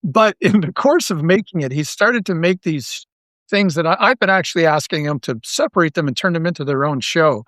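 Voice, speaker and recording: A man's voice: on the high side, expressive, a little fast, a bit bright, no echo, clean studio sound. A man talking casually as a podcast guest, rambling along with enthusiasm. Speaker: podcast guest, audio drama creator. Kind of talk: casual interview chat.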